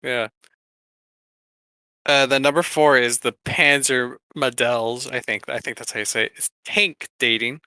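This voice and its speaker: Male podcast co-host, casual list-reader. Crisp, bright voice, informal and a little hesitant. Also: mid-range voice, steady tone, a little slow, no echo. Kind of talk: casual chat, presenting a countdown list.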